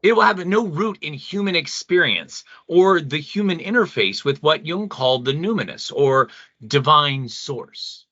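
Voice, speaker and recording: A man performs an earnest male pastor in an audio play, a lively, bright voice on the higher side. He is reflective and philosophical, choosing his words with care. The sound is dry and slightly dull. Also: dry recording, exaggerated voice. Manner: thoughtful, measured, philosophical. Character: earnest male pastor, secretly a weary, loving god. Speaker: pastor in a debate.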